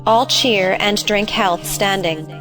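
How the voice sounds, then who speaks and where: A woman reading stage directions as scripted narration, measured and matter-of-fact. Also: medium pitch, music in the background.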